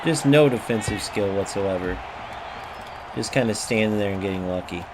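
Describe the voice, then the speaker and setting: A man's voice: lowish voice, normal speed, crowd noise. A man streaming a football game, commentating live with profane, ranting trash-talk about his opponents. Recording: clear background noise.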